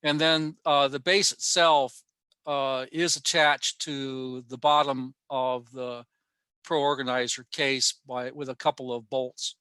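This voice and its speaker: A man giving an informal technical presentation online, pausing often in mid-sentence as he explains. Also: mid-range voice, steady tone, sharp tone, clear, resonant tone, no echo. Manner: halting, with frequent mid-sentence pauses. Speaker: amateur radio club presenter. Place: online workshop talk.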